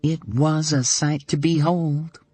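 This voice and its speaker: A man's voice: fairly high pitch, fairly even intonation, takes it slowly, darkish tone, no room sound, soft background music, voice pushed up. A man voicing an uneasy, guarded woman who answers tersely and defensively. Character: anxious, guarded adult woman, flustered by romance.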